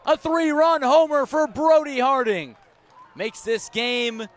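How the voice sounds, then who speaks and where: A man doing live radio baseball play-by-play, describing each pitch in detail and filling in with player stories. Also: takes it slowly. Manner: descriptive, storytelling between pitches, rising to excited calls on big plays.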